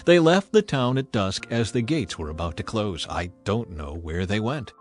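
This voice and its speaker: A man's voice: lowish voice, sing-song, moderate pace, faint music behind. A man voicing an earnest, pleading woman of ancient Jericho in a declamatory scripture dramatization. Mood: urgent.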